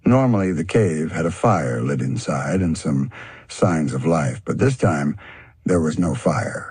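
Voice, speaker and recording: Deep, very husky male storyteller. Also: lively intonation, measured pace, a little mellow, dry recording, studio quality. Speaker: audiobook narrator. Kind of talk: scripted storybook narration.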